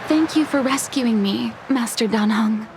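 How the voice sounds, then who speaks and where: A woman's voice: a little high, a little slow, noisy background. A woman playing a composed, respectful attendant in a video game, speaking formally and politely. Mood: polite, concerned. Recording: game music behind the voice.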